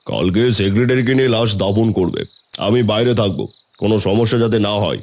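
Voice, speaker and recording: Man acting a curt, low-voiced building boss. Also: expressive, fairly quick, darkish tone. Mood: stern, permitting. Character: gruff, bossy male building secretary in a horror story. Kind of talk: audio horror story acting.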